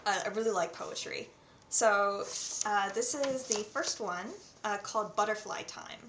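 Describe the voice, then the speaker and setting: A woman's voice: mid-range voice, measured pace. A woman in her twenties with a North American accent, presenting as an online English tutor. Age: 20s. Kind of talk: self-introduction to camera with poem readings. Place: small indoor room at home. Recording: roomy echo, faint background noise.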